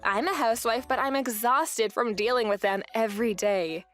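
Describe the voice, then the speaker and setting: A woman narrating a first-person manga dub story in an expressive, theatrical way. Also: fairly high pitch, normal speed, faint music behind. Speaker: narrator and voice actor.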